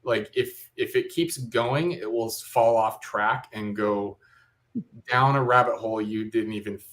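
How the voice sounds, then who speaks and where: A man giving a casual explanation as an interview guest, halting and hesitant as he feels for his words. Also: fairly low pitch, a bit bright.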